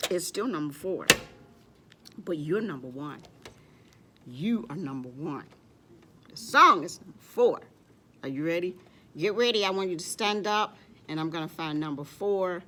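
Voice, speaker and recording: A woman's voice: lowish voice, fairly bright tone, a little hiss or hum. A woman hosting a children's storytime, playful and encouraging, speaking simply to little kids and often repeating short phrases.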